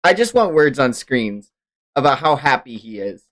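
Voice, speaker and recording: A man's voice: mid-range voice, big pitch swings, normal speed, fairly bright tone. A man hosting a casual livestream chat about manga, talking in hyperbolic fan slang and swearing often. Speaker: stream host.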